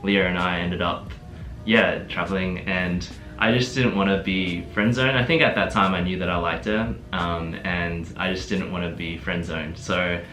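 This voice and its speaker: A man's voice: deep voice, normal speed. A young man from Adelaide with an Australian accent, chatting easily about his relationship with lots of laughs and fillers. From Adelaide, South Australia. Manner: relaxed, laughs often, many fillers. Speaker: guest, host's fiancé. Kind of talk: casual relationship Q&A. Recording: echoey room with background music.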